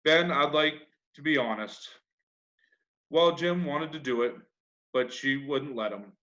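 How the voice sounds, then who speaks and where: A man's voice: fairly high pitch, unhurried, sharp tone. Children's storybook role: crafty country lad, read by a man, folksy, feigned indifference, slightly dull audio. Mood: reluctant, sly. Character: crafty, nonchalant young country boy. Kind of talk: children's classic storybook reading.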